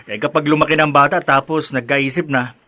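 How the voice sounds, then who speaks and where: A man's voice: on the high side, fairly even intonation, a little fast, sharp tone. An actor playing a teasing, worldly-wise friend and godfather in a Filipino radio drama, jocular and chuckling. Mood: teasing, amused.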